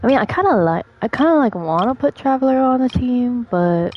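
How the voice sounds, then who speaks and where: A woman's voice: on the high side, lively intonation, brisk, muffled recording. A woman livestreamer chatting casually as she comments on a game she is playing. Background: game music.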